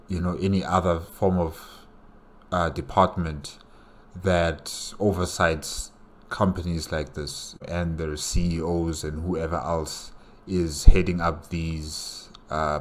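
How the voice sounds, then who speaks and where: A man hosting a reaction video, giving opinionated, off-the-cuff commentary in a hesitant way. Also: deep voice.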